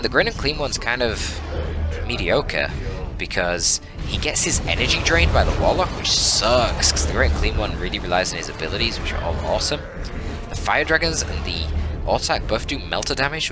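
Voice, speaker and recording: A man giving analytical, informal live game commentary in a casual, mildly sweary, exclamatory way. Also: sits low. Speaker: esports caster, channel host.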